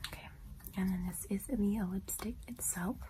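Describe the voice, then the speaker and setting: A young woman whispering softly and gently in a casual, unhurried ASMR style as she presents things close to the mic. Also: mid-range voice, a little hiss or hum. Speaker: ASMR video host. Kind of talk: soft-spoken whispered ASMR product show-and-tell.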